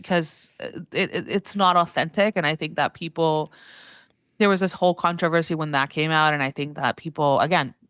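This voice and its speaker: A woman speaking as a guest commentator in a casual podcast discussion, halting and leaning heavily on "like" as she talks. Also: deep voice, steady tone, fairly bright tone, clean tone, no echo, clean studio sound. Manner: halting, heavy use of "like". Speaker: guest commentator on grocery and consumer brands.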